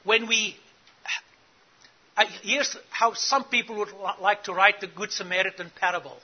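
Husky high-voiced man. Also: fairly even intonation, moderate pace, muffled recording. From South Africa. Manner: earnest, asks the audience questions. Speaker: conference speaker. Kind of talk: teaching talk to church leaders.

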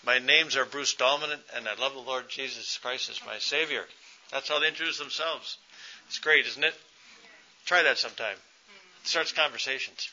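A man, a family doctor, introducing the next speaker at a conference in a relaxed way with many fillers. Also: mid-range voice, moderate pace. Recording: dull lo-fi sound.

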